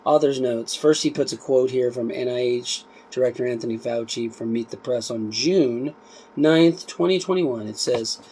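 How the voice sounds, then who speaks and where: A man reading a book aloud in an informal way, emphatic and deliberate on the text, with offhand asides in between. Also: mid-range voice, mellow, slightly rough, rough recording.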